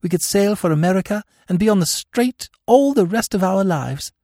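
A man voicing a cynical, world-weary young woman thief in an audiobook story, with a cool, slow delivery. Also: on the low side, animated, mellow, husky, dry recording, clean studio sound, heavily acted. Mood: tearful, pleading.

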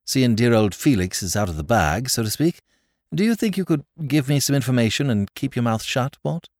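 A man's voice: medium pitch, expressive, a little fast, a little mellow, dry recording, studio quality, natural voice. A man doing a breezy aristocrat. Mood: airy. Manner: airy, casual. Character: breezy upper-class English male aristocrat.

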